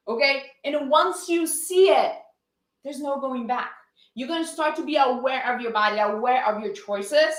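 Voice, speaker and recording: A woman's voice: sits high, a bit bright, echoey room, low-quality recording. A woman with a Brazilian accent, an upbeat fitness coach leading a live-streamed class and chatting with her viewers.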